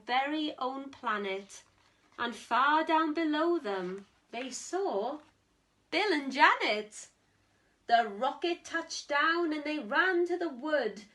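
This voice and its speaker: Slow, high-voiced woman reading a children's story. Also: a bit bright. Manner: theatrical, deliberate storytelling with strong emphasis. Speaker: storytime reader, teacher. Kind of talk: expressive read-aloud of a rhyming children's picture book.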